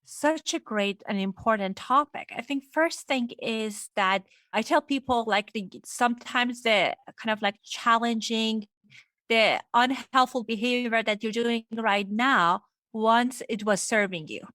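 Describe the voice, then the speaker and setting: A woman's voice: on the high side, unhurried, sharp tone, dry recording. A woman from Iran speaking as a psychologist guest, explaining things reflectively in an interview. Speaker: guest, clinical psychologist and sex therapist.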